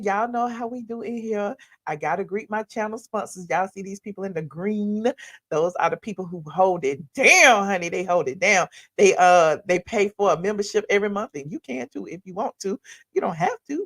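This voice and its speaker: Hoarse lady chatting on her livestream, sassy and drawn out. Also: mid-range voice. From Atlanta area. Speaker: livestream host. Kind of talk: casual live-stream chat.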